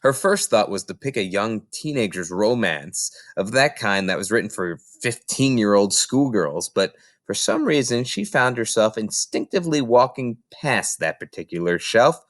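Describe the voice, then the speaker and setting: A man reading a children's novel aloud as an expressive narrator. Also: fairly low pitch, moderate pace, dry recording, clean studio sound. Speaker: reader.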